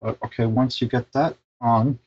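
A man's voice: medium pitch, fairly even intonation, moderate pace, darkish tone, breathy edge, muffled recording. A middle-aged man giving a casual, informal step-by-step tech tutorial, sometimes hesitating and repeating himself. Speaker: tech installer presenting a how-to tutorial.

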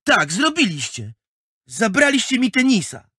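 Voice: mid-range voice, big pitch swings, unhurried, sharp tone, breathy edge, no room sound, clean studio sound, heavily acted. A performer playing a proud, sulky young schoolboy athlete in a children's audio drama, defensive and prone to stammer. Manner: defensive, stammering when flustered.

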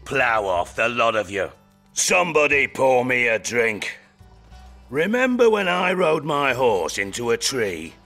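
A boozy, boisterous soldier, in a fantasy game. He is crude and rowdy, with a sharp mid-pitched voice and faint music behind. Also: sing-song, a little slow, creaky, exaggerated voice. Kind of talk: video game voice acting.

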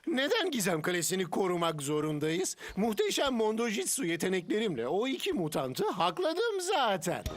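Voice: medium pitch, wildly swooping pitch, moderate pace, few pauses. An actor voicing a boastful, whiny little young hero in a cartoon dub, cocky and comic. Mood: whiny bragging. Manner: cocky, complaining. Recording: music behind.

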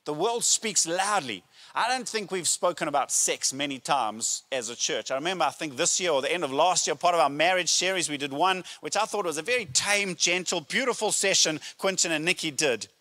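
High-pitched, bright-voiced man preaching. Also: animated. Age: middle-aged. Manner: emphatic, deliberate phrasing with pauses and rhetorical questions. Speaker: pastor. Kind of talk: sermon to a congregation. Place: church auditorium stage, indoors. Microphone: handheld microphone.

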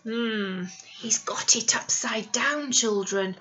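A middle-aged woman, a primary teacher reading a picture book to young children in an animated, explanatory way with questions to them. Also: a little high, slow. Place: small indoor classroom. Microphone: webcam or device at arm's length.

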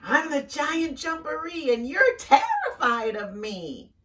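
A woman's voice: sits high, lively intonation, slow pace, bright, forceful tone, recorded from a distance, voice pushed up, full character voice. A woman voicing a boastful, menacing hidden monster from a children's storybook in sing-song boasts, a little away from the mic. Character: boastful hidden giant jumperee, loud and menacing.

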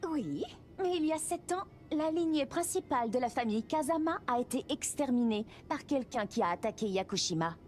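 A woman's voice: high voice, lively intonation, unhurried, darkish tone, faint music behind. A woman voicing a poised, serious young aristocratic lady in a game cutscene, formal and composed. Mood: grave. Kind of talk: video game cutscene acting.

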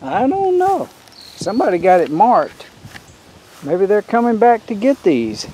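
A man's voice: high-pitched, dark tone, a little hiss or hum. A man in his fifties vlogging casually on a rural roadside, rambling and thinking aloud in a folksy way as he films. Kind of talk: casual vlog narration. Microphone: camera held by cyclist.

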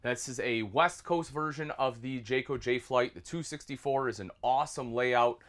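A man's voice: mid-range voice, normal speed, a bit bright. A man in his thirties, an RV product specialist, giving an upbeat walkthrough tour inside a small travel trailer and calling viewers folks.

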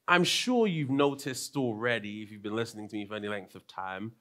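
A young man with a London English accent preaching a sermon from a pulpit, animated, moving between soft asides and raised, emphatic lines. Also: fairly low pitch, a little fast. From London, England. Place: church stage with purple lighting.

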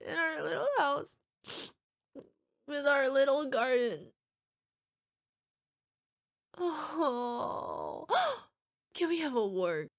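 A woman's voice: very high-pitched, creaky, exaggerated voice. A woman playing an affectionate young orc girlfriend in an ASMR roleplay, emotional and playful as she talks to her partner. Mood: hopeful, tearful, then excited, playful. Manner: gushing, emotional, playful, talking to an unheard partner. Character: young orc girlfriend, fierce yet cutesy, needy, affectionate.

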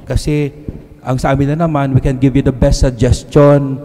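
A middle-aged priest with a Filipino accent, giving a conversational homily at a lectern microphone and mixing Tagalog with English. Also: male voice, medium pitch, little pitch movement, brisk, mellow. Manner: switches between Tagalog and English, conversational preaching. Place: church sanctuary, at a lectern. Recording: long church reverb.

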